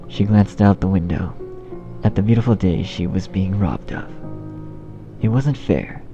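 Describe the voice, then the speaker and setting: A man reading scripted story narration as the narrator. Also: deep voice. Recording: dull, muffled sound under music. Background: music.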